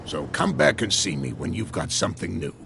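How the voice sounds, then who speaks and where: A man's voice: low voice, sing-song, brisk, creaky, noisy background, exaggerated voice. A man voicing a superstitious old sailor at the docks, knowing and teasing, in a video game.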